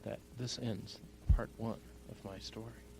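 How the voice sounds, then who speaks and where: A man tells a homemade bedtime story in a slow, gravelly voice. He spaces out his phrases haltingly. A clear hum and noise sit under the recording. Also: on the low side, level tone. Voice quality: gravelly, creaky. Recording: noisy home recording with hum.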